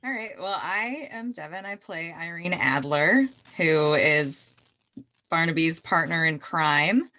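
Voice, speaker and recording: Low, bright-voiced woman, chatting. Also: lively intonation, takes it slowly. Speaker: voice actress, interview guest. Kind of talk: casual interview talk.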